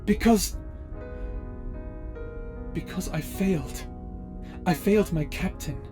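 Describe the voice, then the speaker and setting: Man acting as stern space pirate officer, measured, formal, guilt-ridden, audio drama, prominent music behind. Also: sits high. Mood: bitter, grieving. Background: background music.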